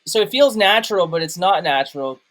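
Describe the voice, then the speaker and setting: A man in a casual film discussion, thinking aloud in a halting way and repeating words as he goes. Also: high voice, animated, a bit bright. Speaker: film review show host.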